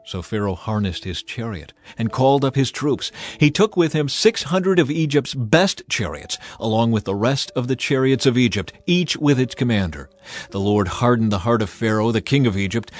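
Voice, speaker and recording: A man narrating scripture in a scripted, dramatized audiobook style. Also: mid-range voice. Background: music.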